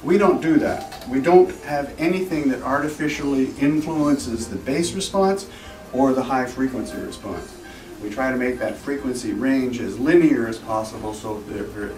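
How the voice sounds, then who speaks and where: A man giving an informal, technical product presentation as a company representative, explaining things in a measured, slightly formal way. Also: medium pitch, a little mellow. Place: echoey indoor trade show demo room. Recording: roomy, distant mic, music in background.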